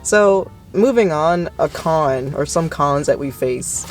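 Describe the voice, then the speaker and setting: A woman in her twenties with a deep voice that can crack, chatting casually with a friend in a self-deprecating way. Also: lively intonation, slow, faint music behind. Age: 20s. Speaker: vlog co-host and call center worker.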